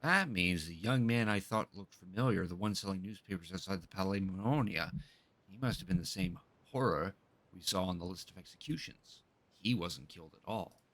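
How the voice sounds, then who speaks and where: A man's voice: low-pitched, moderate pace, a bit bright, slight background noise. A man streaming a video game, giving casual commentary on the story as it unfolds.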